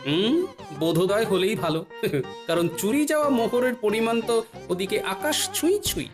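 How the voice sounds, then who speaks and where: A man playing a witty, good-natured court jester in a cartoon, with a teasing, expressive delivery. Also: high voice, normal speed, over music. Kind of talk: animated cartoon dialogue.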